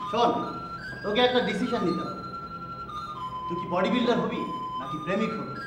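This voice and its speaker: Very high-pitched cheeky young man. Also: animated, fairly quick, music in the background. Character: cheeky, teasing young male best friend. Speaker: actor in a TV drama. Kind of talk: TV drama dialogue.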